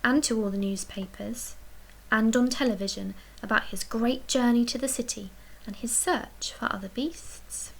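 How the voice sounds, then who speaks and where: A woman reading a children's picture book aloud as a storyteller, expressively, with dramatic emphasis and hushed, breathy dips. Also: fairly high pitch, moderate pace, poor audio. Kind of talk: expressive read-aloud bedtime story narration.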